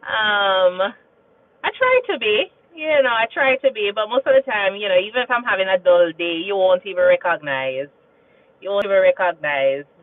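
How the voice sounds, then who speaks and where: A woman's voice: medium pitch, animated, normal speed, no echo. Chatty Jamaican woman, tinny sound. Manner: chatty and storytelling, repeats phrases and asks "you understand?". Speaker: nurse and livestream host. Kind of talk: casual livestream chat reading viewer comments.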